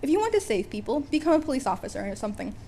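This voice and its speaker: A hearty, courteous superhero acted out by a woman addresses a youngster in formal, emphatic phrases. The voice is high for such a man, and the home recording has noise behind it. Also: big pitch swings, very fast, warm tone. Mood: firm, earnest. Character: heroic grown man, polite, booming, earnest superhero.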